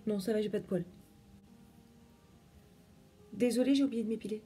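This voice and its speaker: A woman's voice: medium pitch, moderate pace, dark tone, breathy edge, faint music behind. A young woman chatting through a makeup tutorial, explaining each step as she works. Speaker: beauty vlogger.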